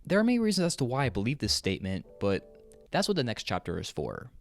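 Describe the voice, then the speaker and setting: Animated young man giving frank opinions. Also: medium pitch, fast talker, gravelly. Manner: frank, profane, emphatic. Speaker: YouTube video essayist. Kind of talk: opinion video essay narration, conversational. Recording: music under the voice. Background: background music.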